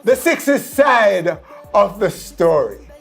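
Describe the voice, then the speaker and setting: A man with a Jamaican accent presenting and interviewing on an entertainment show in a deliberate, clarifying manner. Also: high-pitched, big pitch swings, vocal fry, soft background music.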